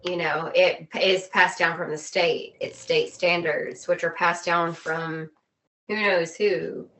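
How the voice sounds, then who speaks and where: A woman with a lowish, bright and forceful voice speaks as a guest in a casual chat. She is opinionated and emphatic, often repeating a phrase to drive it home. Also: steady tone, slow pace, poor audio. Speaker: interview guest, former teacher.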